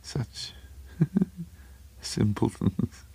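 A man voicing a small, conceited, furtive madman in a story, with a low breathless mutter, a bubbling impediment and a halting, stammering delivery. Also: animated, creaky, warm tone, slightly rough, dry recording, full character voice. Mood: furtive, agitated.

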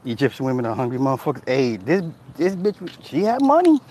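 A man talks casually on a livestream, reading comments and telling stories. He speaks at an average pitch, swears freely, and laughs often. A faint hum of background noise sits behind his voice. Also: wildly swooping pitch, quick pace, darkish tone. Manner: loose, profane storytelling, laughing at chat comments. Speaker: livestream host reading chat.

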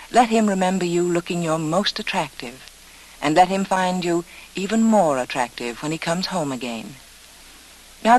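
A woman's voice: fairly low pitch, low-quality recording. A woman hostess reading a scripted 1940s style radio advertisement, addressing the listener directly and persuasively.

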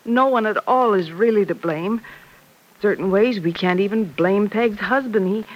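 An actress playing a gentle, motherly middle-aged woman in an old-time radio drama, anxious but soothing and forgiving. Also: medium pitch, moderate pace, lightly acted, poor audio. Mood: anxious, consoling. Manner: soothing, earnest, maternal. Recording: vintage radio recording.

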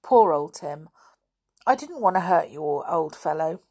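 Woman playing a blunt, bossy schoolboy, lively pitch. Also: husky, exaggerated voice. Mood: sorry. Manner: brisk scolding, old-fashioned British schoolboy slang. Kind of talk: children's storybook character reading.

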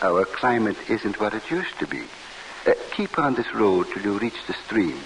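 A sly farmer talks. He is polite and unhurried, in a vintage radio play with faint hiss. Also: lowish voice, lively intonation, poor audio. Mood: affable, sly. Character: sly, courteous French peasant farmer with a milk cart. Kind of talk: old-time radio drama acting. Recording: hissy vintage radio broadcast.

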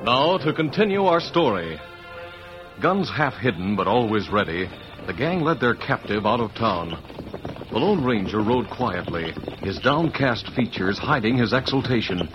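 A man's voice: mid-range voice, big pitch swings, a little slow. Dramatic male old-time radio narrator. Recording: muffled vintage radio recording with music. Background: music.

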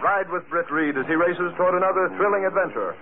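An actor as a grave, emphatic announcer narrating an old radio thriller in a measured, dramatic style. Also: male voice, high voice, close to an everyday voice. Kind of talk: 1940s radio scripted narration. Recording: tinny, noisy old radio recording.

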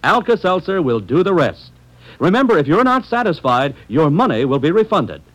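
A man's voice: fairly high pitch, big pitch swings, moderate pace, muffled recording. A man announcing a scripted old-time radio advertisement in a polished, persuasive way, speaking to listeners as friends.

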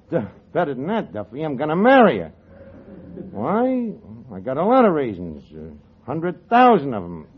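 An actor playing a cocky, scheming male tavern manager who talks in street slang and mangled grammar, in 1940s radio sitcom style. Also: high-pitched. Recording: 1940s radio broadcast, dull audio, studio audience laughter and hiss. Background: studio audience laughter.

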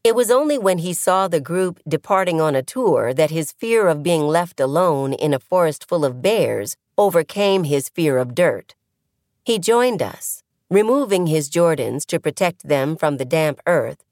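A woman narrating a scripted essay as an audiobook reader, with measured, deliberate phrasing and pauses. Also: on the low side, darkish tone, no room sound, clean studio sound.

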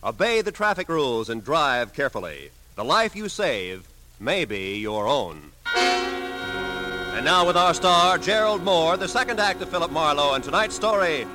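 A male radio announcer with a bright, forceful voice and animated swings in pitch. He speaks in polished, emphatic 1940s style over music. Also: measured pace.

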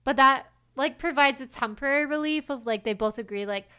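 A woman's voice: high-pitched, fairly quick, bright, forceful tone. A woman co-hosting a podcast, chatting casually and acting out quoted lines with lots of "like" fillers. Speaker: podcast co-host. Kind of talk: casual chat.

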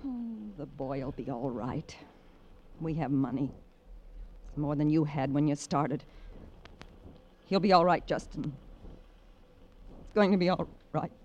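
An actress playing a protective, bitter middle-aged American wife, intense and melodramatic in a 1950s radio drama. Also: female voice, sits low, expressive, a little slow, long pauses, darkish tone, muffled recording. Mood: soothing. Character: fierce, protective society wife, bitter and unrepentant. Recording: noisy old radio recording.

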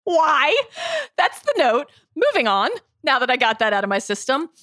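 A woman co-hosting a podcast in casual chat, excitable and laughing, her voice jumping up when amused. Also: moderate pace, dry recording, studio quality. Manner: excitable, laughing, jumps up in pitch. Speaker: podcast co-host.

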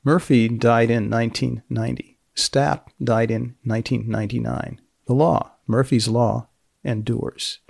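A man hosting a podcast, reading scripted narration in a measured, deliberate, dryly deadpan way. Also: mid-range voice, gravelly, breathy edge, dry recording, studio quality. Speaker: podcast host.

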